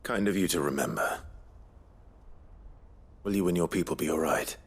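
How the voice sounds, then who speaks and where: An actor playing a gruff, weary fantasy adventurer in a game cutscene, terse and dryly sardonic, with a husky voice. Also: male voice, lively intonation, normal speed, heavily acted. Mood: wry. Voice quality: husky, gravelly low voice. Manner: terse, dry, understated. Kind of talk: video game cutscene acting.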